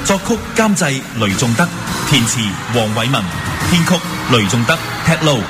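A man's voice: mid-range voice, expressive, moderate pace, over loud music. A man announcing a station jingle and award titles.

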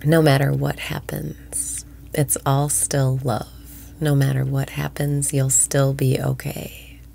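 Woman, meditation guide, slow pace, gravelly, whispering, soothing. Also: very deep voice, completely flat delivery, dark tone, slight background noise.

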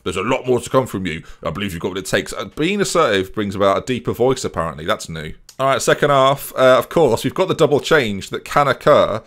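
A man's voice: lowish voice, expressive, fast talker, hardly any pauses, fairly bright tone. A young man commentating on a football management game from a small room at home, with a headset mic, chatty and jokey. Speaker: gaming streamer.

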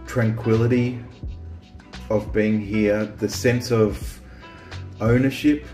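A man's voice: on the low side, steady tone, takes it slowly, a little mellow, reverberant, soft background music. A man who grew up in Australia, hosting a casual chat about small-town life, rambling with lots of restarts and ums. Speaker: vlog host.

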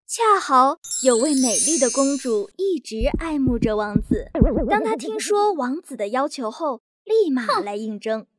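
A woman narrating bedtime fairy tales for young children in a scripted, expressive way. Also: high-pitched, measured pace, music in the background. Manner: lively, expressive. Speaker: storyteller.

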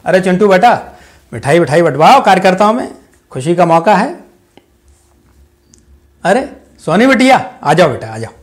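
A man's voice: very animated, normal speed, long pauses. Man playing a proud, ruthless politician father. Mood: jovial. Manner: booming and jovial with party workers, dropping to a hushed, cold undertone with family. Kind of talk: dramatic audition monologue.

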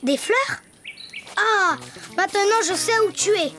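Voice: very high voice, lively intonation, slow, bright, forceful tone, full character voice. An actor voicing an eager, playful, imaginative little boy donkey in a children's cartoon. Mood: curious, cheerful. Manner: playful, exclaiming. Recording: music behind the voice.

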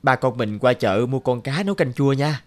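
A man voicing a devoted, hardworking young father in a cartoon, speaking gently and tenderly. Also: mid-range voice, animated, normal speed, natural voice.

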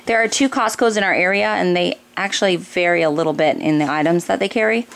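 A woman's voice: fairly low pitch, fast talker, creaky. An American woman in her thirties chatting informally as she explains household items one by one for a casual home vlog. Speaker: family vlogger, mother. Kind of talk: casual grocery haul narration. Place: indoors in a home kitchen or dining room. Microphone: handheld camera close by. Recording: noisy home recording with room ambience.

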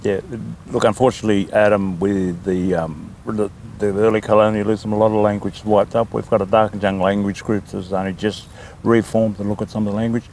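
An older Australian man from New South Wales, a land council chairman answering interview questions in a talkative, unhurried way with frequent ums. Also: on the low side, little pitch movement, darkish tone, slight background noise. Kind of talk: casual interview.

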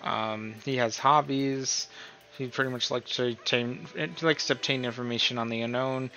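Casting: male tutorial host, bright forward voice, informal rambling delivery, faint music behind. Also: mid-range voice, steady tone, normal speed. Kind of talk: casual screen-recorded tutorial talk. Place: voice-over on a screen recording.

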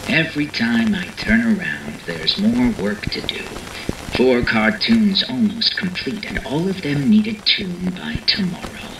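An actor playing a weary, overworked cartoon composer with a gravelly voice, recording a game audio log. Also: male voice, mid-range voice, very animated, unhurried. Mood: weary unease. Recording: echoey, noisy old tape recorder.

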